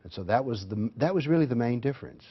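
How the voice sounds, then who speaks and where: A man answering as a guest on a talk show, recounting his experience in a measured, earnest way. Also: medium pitch, expressive, husky, dull audio. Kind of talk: interview answers.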